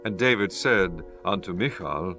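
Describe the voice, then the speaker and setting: A man narrating scripture for an audiobook in a solemn, formal, measured style. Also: low-pitched, expressive, pushed and bright, poor audio. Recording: music behind the voice.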